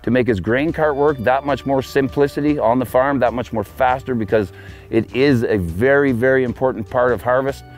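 A man's voice: mid-range voice, normal speed, soft background music. A man in his forties, a tire dealer speaking confidently in a promotional interview outdoors beside a tractor.

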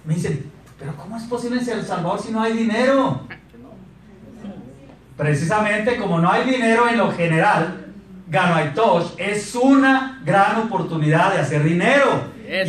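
A man in his thirties with a Mexican accent, giving a lively motivational sales talk on a handheld mic and asking the audience questions. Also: high-pitched, normal speed, recorded from a distance, slight background noise. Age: 30s. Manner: animated, asks the audience questions. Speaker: sales speaker. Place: meeting room in front of a projector screen. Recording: echoey room recorded from afar.

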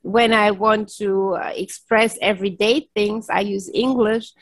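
A woman's voice: medium pitch, fairly even intonation, a little slow, no echo. A middle-aged woman whose first language is German, chatting cheerfully over a video call on a headset from a home office and laughing often. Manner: cheerful, laughs often, many yes and right tags. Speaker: keynote speaker. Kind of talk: casual video-call chat.

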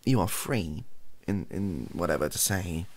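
A young British man giving an improvised, softly spoken, casual monologue with rambling, dry, offhand humour. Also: sits low, lively intonation, a little slow. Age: young adult. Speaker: host of a comedy ASMR channel. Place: small cluttered room indoors.

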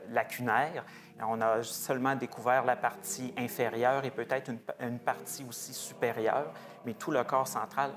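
Man explaining history with many fillers. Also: mid-range voice. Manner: measured, hesitant with frequent fillers. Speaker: museum research director. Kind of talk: explanatory heritage talk. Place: small wood-paneled chapel, indoors.

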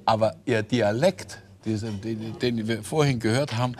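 A man's voice: on the low side, normal speed, darkish tone. A man hosting a studio talk show, asking guests questions in a playful, joking way. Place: tavern-style TV studio set.

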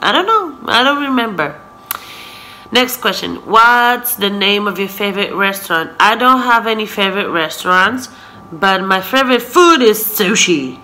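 Chatty young woman, bright voice. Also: mid-range voice. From Israel. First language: Hebrew. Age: 20s. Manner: chatty, laughs often, frequent "so yeah". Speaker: vlogger. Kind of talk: casual question-and-answer vlog. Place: living room, sofa, indoors. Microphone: camera in front at close range.

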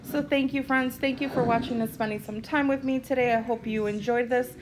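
A woman's voice: on the high side, steady tone, a little fast, background noise. A woman hosting a cooking vlog, chatting in a friendly way as she walks through each step in her home kitchen. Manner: friendly, explains steps plainly, with fillers. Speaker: cooking vlogger. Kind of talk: casual cook-along narration.

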